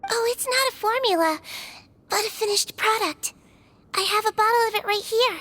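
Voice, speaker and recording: Video game head nurse: childlike, very high pitch, gentle yet shrewd, measured delivery. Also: female voice. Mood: calm, shrewd. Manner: measured, clinical explaining. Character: small gentle girlish head nurse, calm and clever. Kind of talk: scripted video game voice acting.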